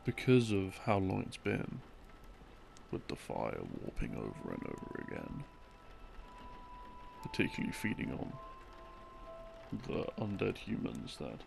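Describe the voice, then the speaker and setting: Low creaky guy murmuring a rambly bedtime lore story, music playing behind him. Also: huge pitch swings. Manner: halting, broken into short phrases with long pauses. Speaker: audio roleplay narrator, playing a boyfriend explaining game lore. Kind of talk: soft rambling sleep-aid storytelling. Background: soft background music.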